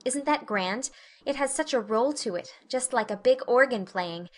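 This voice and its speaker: A woman's voice: a little high, animated, moderate pace. Woman reading as a dreamy, chatty young girl. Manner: eager, earnest, rambling. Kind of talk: audiobook character reading.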